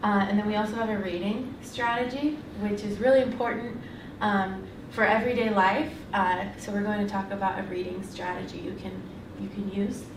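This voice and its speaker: A woman's voice: mid-range voice, fairly even intonation, fairly quick, few pauses. A woman teacher explaining a class activity with encouraging, hesitant phrasing. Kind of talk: classroom instructions. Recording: echoey room, distant mic, faint noise.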